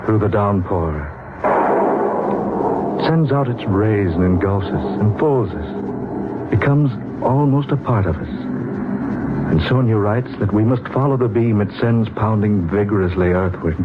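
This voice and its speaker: A man's voice: fairly low pitch, expressive, a little slow, very noisy. An American actor playing an earnest, awed man narrating his strange journey in 1940s radio style. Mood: solemn, weary. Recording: muffled 1940s radio recording, loud music behind.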